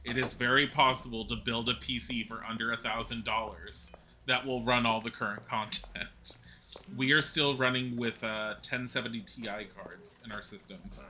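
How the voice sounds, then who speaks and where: A man's voice: mid-range voice, fairly even intonation, moderate pace, sharp tone, slight background noise. A man in his forties, a streamer chatting casually and rambling while he plays and talks with viewers. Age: 40s. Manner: rambling, chatty, laughs at own mishaps.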